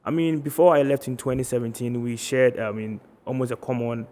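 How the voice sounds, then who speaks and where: A young male student with a Ghanaian accent answering questions as a guest. His voice sits at a middle pitch and he pauses over his wording. There is a long reverb around the voice. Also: fairly even intonation, darkish tone. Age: 20s. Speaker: interview guest, medical student leader. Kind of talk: TV interview answers. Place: TV studio.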